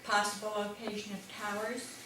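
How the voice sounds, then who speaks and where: A woman chairing a town meeting, introducing the speakers in a measured way and setting out the agenda. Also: mid-range voice, flat delivery. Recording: echoey hall from far off with hiss.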